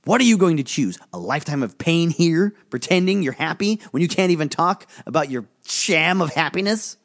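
A man's voice: fairly high pitch, big pitch swings, moderate pace, pitched above the performer's own voice. A man voicing a coaxing, menacing male volcano spirit in an audiobook, wheedling and persuasive. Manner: wheedling, persuasive, sing-song. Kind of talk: audiobook character voice.

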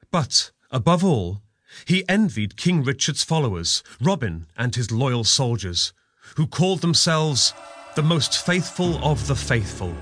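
A man's voice: medium pitch, a bit bright, no room sound, clean studio sound. A man giving dramatic scripted narration for a children's audio adventure story. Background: music.

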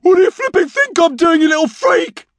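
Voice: high voice, big pitch swings, measured pace, dry recording, voice pushed up, heavily acted. A narrator voicing a grumpy, put-upon twelve-year-old boy who snaps irritably and sarcastically in a children's storybook. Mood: furious yelling.

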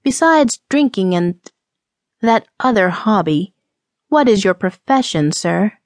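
A woman's voice: mid-range voice, animated, slow pace, no echo. A woman voicing a prim, easily scandalized Old West temperance lady with proper, formal speech, in an audiobook. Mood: prim, indignant.

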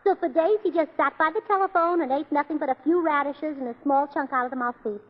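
An actress playing a cheerful, scatterbrained young wife with a bubbly, illogical manner in a 1940s radio comedy. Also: female voice, sits high, fast, natural voice. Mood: chirpy. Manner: bubbly, chirpy, deadpan about her own nonsense. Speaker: comic lead. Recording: slightly dull old radio recording.

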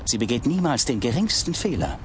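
An actor playing a thief's eager, naive sidekick in a German audio drama, earnest, deferential and easily puzzled. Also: male voice, mid-range voice, big pitch swings, fairly quick, a little hiss or hum, close to an everyday voice. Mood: impressed.

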